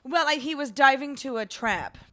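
A woman co-hosting a casual comedy podcast, telling stories and slipping in deadpan joke bits. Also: sits high, normal speed, no echo, clean studio sound. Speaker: podcast co-host.

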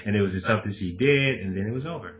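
A man's voice: fairly low pitch, rapid-fire. A man co-hosting a podcast, chatting casually and animatedly, often laughing and acting out quotes. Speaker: podcast co-host. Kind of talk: casual conversation. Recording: dull lo-fi recording.

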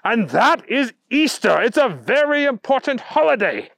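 Man playing a high-pitched, indignant, pompous storybook bunny. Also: lively intonation, moderate pace, dry recording. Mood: outraged. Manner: prim, formal, lecturing. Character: indignant, fussy, pompous talking Easter Bunny, male. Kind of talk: children's storytelling character voice.

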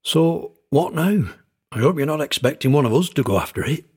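Man acting flustered storybook fellow, extremely husky, higher-pitched, hesitant, incredulous. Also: animated, normal speed, darkish tone. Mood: wary. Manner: stammering, incredulous. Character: bewildered, hesitant man drawn into strange events. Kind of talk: audio story character acting.

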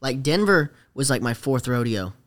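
A man's voice: mid-range voice, sing-song, normal speed. Young man, casual and rambling. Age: late teens to young adult. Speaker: rodeo rider, podcast guest. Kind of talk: casual podcast chat.

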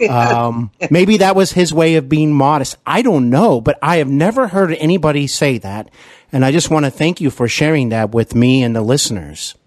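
A man's voice: a little high, animated, moderate pace, a little mellow, no room sound, studio quality. A man hosting a conversational interview, effusive and deferential, often feeling his way through his phrasing. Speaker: podcast host and interviewer.

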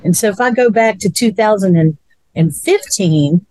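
A woman's voice: medium pitch, expressive, unhurried, dark tone, no room sound, rough recording. A woman, co-hosting a casual chat in a musing way and often repeating words while she thinks. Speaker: co-host.

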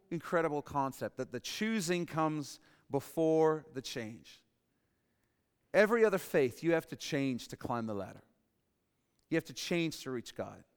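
A male pastor delivers his sermon with a smooth, resonant voice, repeating key phrases and dropping quiet to drive them home. His voice sits a little higher than most men's. The sound rings with long reverb from a large hall. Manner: emphatic, repeats key phrases, drops to soft emphasis.

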